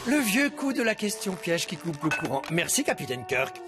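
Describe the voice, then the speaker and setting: A man's voice: high-pitched, sing-song, moderate pace, over music. An actor playing a brainy young male cartoon inventor animal, emphatic, fussy and a bit sarcastic. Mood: smug.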